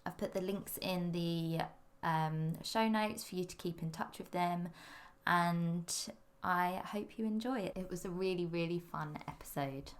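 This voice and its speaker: A woman's voice: on the low side, measured pace. A woman hosting a fashion podcast, chatty and upbeat as she introduces her guests. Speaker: podcast host.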